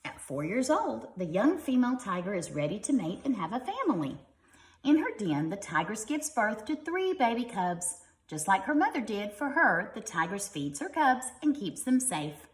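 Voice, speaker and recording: Expressive woman reading to children, roomy sound. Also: medium pitch, normal speed. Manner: expressive, with simple explanatory asides to children. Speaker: teacher reading a children's book aloud. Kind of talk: read-aloud storytelling for young children.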